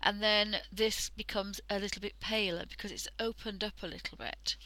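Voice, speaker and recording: A woman with a croaky voice from a cold, chatting casually through a drawing tutorial on a headset mic and often dropping into half-whispers. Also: mid-range voice, fairly even intonation, moderate pace, bright, forceful tone, no echo, rough recording. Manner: chatty, thinking aloud, drifting into half-whispers. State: hoarse from a cold. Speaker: art livestream host.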